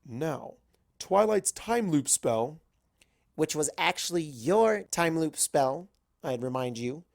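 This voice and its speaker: A narrator voicing a gruff, cynical old male wizard pony who lectures bluntly and emphatically in a storybook reading. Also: mid-range voice, lively intonation, slow pace, a little mellow, dry recording, studio quality. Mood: lecturing.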